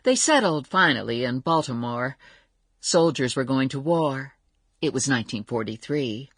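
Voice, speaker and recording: A woman's voice: rumbling low, expressive, moderate pace, husky, no room sound, clean studio sound, heavily acted. A woman playing a wry storyteller narrating a family saga in a radio drama. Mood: wry, storytelling.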